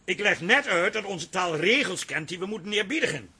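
High-strung, husky pedant. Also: male voice, animated, a little fast, sharp tone, full character voice. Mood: indignant lecturing. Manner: pedantic, indignant. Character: pedantic, touchy son-in-law, forever correcting others' language. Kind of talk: radio comedy acting.

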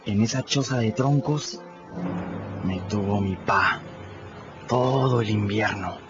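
An actor voicing a plucky, sly runaway boy in a radio drama, telling his story in the first person in a lively way. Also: mid-range voice, noisy background, natural voice. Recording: dull lo-fi radio recording. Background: clear music bed.

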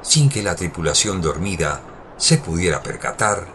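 A man's voice: low voice, very animated, normal speed, fairly bright tone. A man narrating a scripted audio drama in a formal, literary storytelling manner. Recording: clear background ambience noise.

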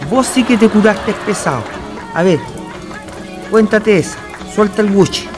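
An actor playing a bossy, slangy tavern companion with a Chilean accent in a radio drama. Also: male voice, high voice, a little slow, music in the background. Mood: teasing. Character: gruff impatient male tavern drinking companion.

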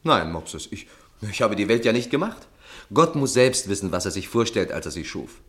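An actor playing a lordly, nonchalant gentleman who talks offhandedly down to his servant, in a 1960s German radio play. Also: fairly low pitch, very animated, normal speed. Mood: nonchalant, commanding. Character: lordly, nonchalant gentleman master, amused and indifferent.

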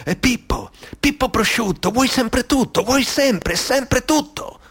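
A man performing a mocking balladeer's refrain in a radio reading, in a slow, drawn-out crooning chant. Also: extremely high pitch, expressive, creaky, fairly bright tone, husky, pitched above the performer's own voice, full character voice. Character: mocking sing-song balladeer chanting a greedy tycoon's refrain.

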